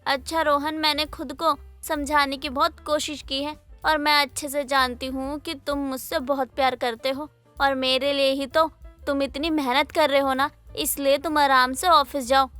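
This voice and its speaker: A young wife in an audio story drama, acted by a woman. Her voice is high and bright, with an emotive delivery. Music plays under her lines. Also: level tone, moderate pace, no echo. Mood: affectionate. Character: lonely, pleading young wife, affectionate but easily irritated. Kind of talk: Hindi moral-story audio drama acting.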